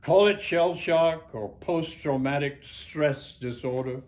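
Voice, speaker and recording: Slow-spoken American man in his nineties. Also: a little high, a little mellow. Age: 90s. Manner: halting, deliberate, pausing between short phrases. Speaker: author reading own work. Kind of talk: reading an author's note aloud to a small gathering.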